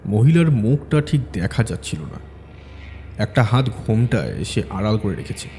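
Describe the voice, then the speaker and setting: Brief: male horror narrator, low and mellow, dramatic and suspenseful. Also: sing-song, moderate pace, in a large hall, soft background music. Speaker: storyteller narrator.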